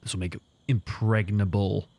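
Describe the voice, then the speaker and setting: Deep-voiced man at a game table. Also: little pitch movement, normal speed, gravelly, mellow, dry recording. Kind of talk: casual table talk.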